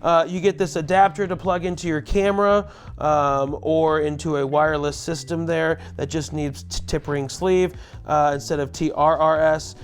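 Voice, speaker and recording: A loud, chatty man giving a casual product tutorial, speaking into a lavalier mic clipped under his shirt. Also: a little high, steady tone, normal speed. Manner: loud, chatty, self-deprecating asides. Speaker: gear reviewer.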